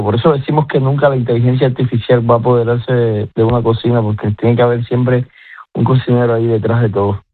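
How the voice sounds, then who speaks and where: A man's voice: medium pitch, dry recording. A man with a Cuban Spanish accent, a chef speaking as a guest in a radio interview, talking with many restarts. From Cuba. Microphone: telephone. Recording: tinny phone line.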